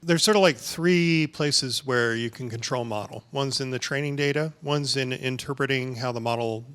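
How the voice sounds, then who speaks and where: A man's voice: medium pitch, normal speed, a bit bright, smooth. A man on a panel explaining a point step by step, often checking in with "right?".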